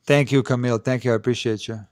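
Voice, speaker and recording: Low-voiced man hosting a live Q&A. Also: steady tone, quick pace, darkish tone, smooth. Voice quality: low, not strong voice. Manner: chatty, hedging, many fillers and restarts. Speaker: tennis channel host. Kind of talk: live stream Q&A, casual chat. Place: indoors, talking to camera. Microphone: handheld mic held close.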